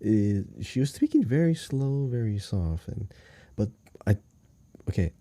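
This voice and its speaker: A man's voice: lowish voice, lively intonation, a little slow, warm tone, dry recording. A man co-hosting a podcast, chatting casually as he thinks through a guessing game. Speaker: podcast co-host.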